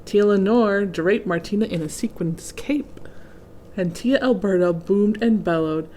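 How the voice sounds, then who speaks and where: A woman's voice: medium pitch, unhurried, warm tone, slight background noise. A woman reading a children's picture book aloud expressively, adding chatty asides to young listeners. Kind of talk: children's storybook read-aloud with casual asides.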